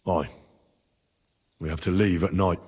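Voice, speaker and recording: A man's voice: low voice, steady tone, unhurried, darkish tone, breathy edge. A man playing an earnest, brave young medieval adventurer in a game, plain-spoken and reassuring. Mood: gentle. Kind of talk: dramatic game cutscene acting.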